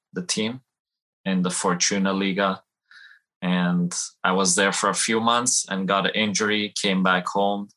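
A young adult man from Iran with a deep voice that stays flat in tone but rings bright and forward. He answers interview questions casually and in a loose, wandering way, scattering fillers as he goes. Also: low-quality recording. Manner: relaxed, rambling, many fillers like "you know" and "uh". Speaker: football technical trainer, guest.

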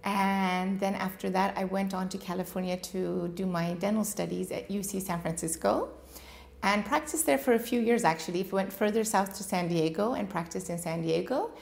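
A woman who was born in Iran and raised in the United States, a dentist and clinic owner, giving calm and earnest interview answers. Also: medium pitch, normal speed, gravelly. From Iran, raised in Washington state.

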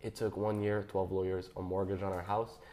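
A young man reading his personal statement aloud in a measured, careful way. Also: deep voice, level tone, dark tone, slight background noise. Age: young adult. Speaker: guest.

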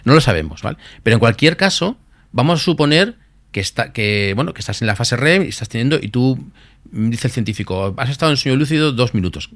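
Fast-talking man explaining eagerly. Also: medium pitch, no echo. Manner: fast, animated explaining with many asides. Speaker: guest, lucid dreaming expert. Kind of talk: podcast interview explanation.

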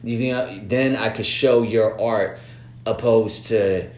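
A man with a lowish voice talks casually and at length, stumbling over repeated words and circling back to his points. The room around him is echoey, with a faint hum and background noise. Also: steady tone, takes it slowly. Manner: rambling, repeats words, frequent "you know what I'm saying". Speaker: business-minded artist partner. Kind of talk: casual planning chat.

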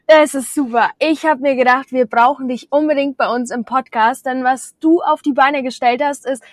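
An enthusiastic woman hosts a podcast and welcomes her guest in a high, upbeat voice. Her voice sounds close and dry, with no room echo. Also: steady tone, normal speed. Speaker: podcast host. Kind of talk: podcast opening and introduction.

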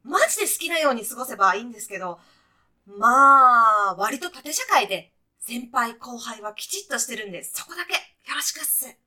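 A woman's voice: on the high side, animated, fairly quick, bright, forceful tone, full character voice. A woman playing a brash, breezy guide to heaven in a comic radio sketch, talking in punchy, clipped, casual slang like a sporty junior employee. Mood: breezy, matter-of-fact.

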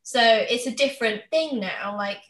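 A woman's voice: fairly high pitch, moderate pace, a bit bright. A woman, talking candidly and chattily in a casual conversation. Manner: chatty, candid, acts out others' questions. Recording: roomy, echoey sound.

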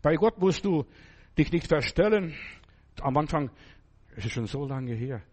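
A man's voice: on the high side, lively intonation, a little slow, a little mellow, breathy edge. An older man preaching a sermon as pastor, German with a Lithuanian accent, emphatic and speaking straight to the listener. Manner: emphatic, addresses listener directly. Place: church hall.

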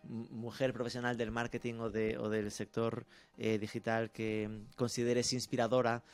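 A man hosting a podcast interview in casual chat, relaxed and teasing, often tagging his sentences with a question. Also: medium pitch, normal speed, clear, resonant tone, faint music behind. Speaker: podcast host.

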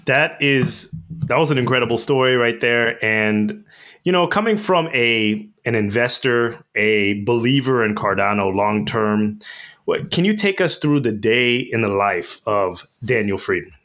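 A man's voice: medium pitch, expressive, a little slow, fairly bright tone. A man co-hosting a podcast who asks his questions eagerly and with enthusiasm. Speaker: podcast co-host.

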